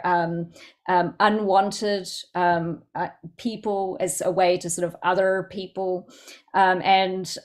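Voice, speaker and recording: A woman with a Southland New Zealand accent and a slightly low voice speaks informally as a guest poet. She is thoughtful and halting, often pausing to search for the right word. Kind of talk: informal question-and-answer talk at a poetry event.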